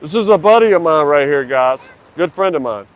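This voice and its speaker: A man's voice: on the high side, lively intonation, a little fast, low-quality recording. A man live-streaming on a city street, talking loosely with lots of fillers and restarts into a handheld mic. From United States. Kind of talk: casual live-stream chat.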